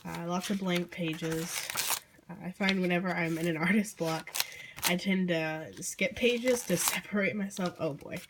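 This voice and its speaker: A teenage girl with a hoarse, croaky voice from being sick, casually and apologetically flipping through her sketchbook on camera. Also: on the low side, fairly even intonation, a little slow, background noise. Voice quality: croaky, losing voice. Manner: apologetic, rambling with fillers and small laughs. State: hoarse from illness. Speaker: vlogger. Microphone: handheld camera mic.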